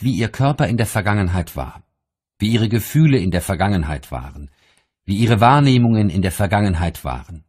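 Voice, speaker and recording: A man narrating an audiobook of spiritual teachings in a measured, deliberate, solemn reading style. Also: fairly low pitch, darkish tone, slightly rough.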